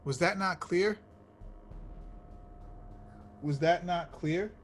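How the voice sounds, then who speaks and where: A man's voice: high voice, drawn-out words. A man playing a stubborn, lovesick young slacker hero in a script table read, petulant and blunt. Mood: defiant.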